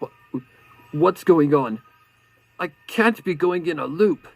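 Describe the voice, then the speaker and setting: A man's voice: a little high, expressive, slow pace, gravelly, dry recording, soft background music, pitched above the performer's own voice, full character voice. A man voicing a frightened, desperate pony stallion in a horror story reading, stammering and crying out. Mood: bewildered, frightened. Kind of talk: horror fan-fiction dramatic reading.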